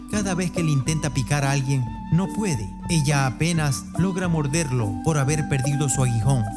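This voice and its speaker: A man narrating a radio play myth in the manner of a storyteller. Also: a little high. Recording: music bed behind the voice. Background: music.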